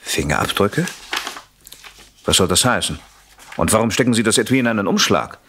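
An actor playing an edgy, defensive gentleman husband in a German radio crime drama, polite but blustering and agitated. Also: on the low side, lively intonation, moderate pace, a little hiss or hum. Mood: uneasy.

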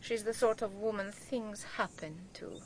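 An actress playing a gossipy, spiteful archaeologist's wife in a radio drama, insinuating and emphatic. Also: female voice, medium pitch, unhurried, slight background noise, lightly acted. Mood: spiteful gossip.

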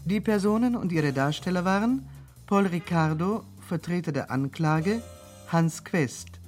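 A woman reading radio play credits as a formal, measured, scripted announcement. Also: fairly low pitch, animated, a little mellow, soft background music.

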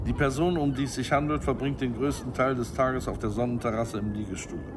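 Man acting cool operative, medium pitch, methodical, clipped phrases, matter-of-fact, radio drama, noisy recording. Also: steady tone, brisk, natural voice. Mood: matter-of-fact, precise. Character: methodical, cool male operative briefing a client.

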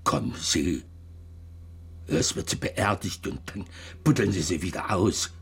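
Evasive older man, radio drama, low voice, very slow, muttered, breathy, guilt-laden. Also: expressive, a little hiss or hum. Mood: stubborn, evasive. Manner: halting, clipped, muttering colloquially. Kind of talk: German radio drama acting.

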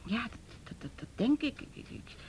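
A woman's voice: very animated, moderate pace, a little mellow. An actress in a radio play playing an anxious, distraught mother who stammers and repeats her words. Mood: worried. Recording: faint noise and low rumble.

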